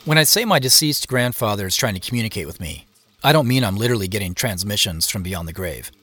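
A man's voice: on the low side, expressive, fast, bright, forceful tone, slightly rough, dry recording, exaggerated voice. An actor playing a reflective, curious American man in his forties, narrating a family mystery in scripted first person. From Seattle, United States. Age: 40s.

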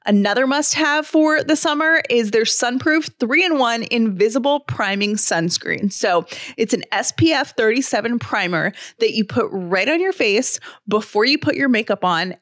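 A woman's voice: on the high side, a bit bright, no echo, clean studio sound. A woman hosting a podcast, reading a sponsor ad in a chatty, persuasive, conversational way. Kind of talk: conversational ad read.

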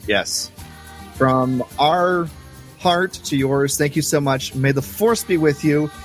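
A man's voice: a little high, slow pace, soft background music. A man co-hosting a fan podcast, chatty and upbeat, often chuckling. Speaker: podcast co-host. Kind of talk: casual podcast chat.

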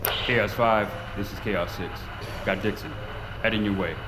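A man's voice: fairly low pitch, fairly even intonation, a little slow, over music, natural voice. A man playing a curt, stern military guard making clipped radio calls in an audio drama. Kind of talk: audio fiction acting. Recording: echoey space under loud sound bed.

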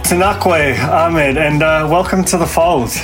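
A man with a New Zealand accent hosting an interview podcast, talking in halting bursts with many restarts. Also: a little high, a bit bright, faint music behind, low-quality recording. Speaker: podcast host.

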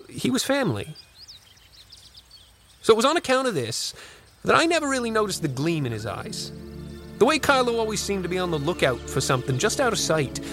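An actor playing an old country man who wistfully recalls a strange boyhood in folksy, unhurried asides for an audio drama. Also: fairly high pitch, a bit bright. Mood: ominous, wistful. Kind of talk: first-person storytelling in an audio drama.

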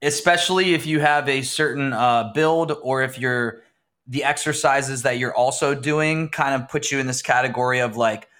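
A man's voice: mid-range voice, moderate pace, a bit bright. Guy host rambling thru his questions, easygoing chat. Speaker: interviewer. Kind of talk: casual interview.

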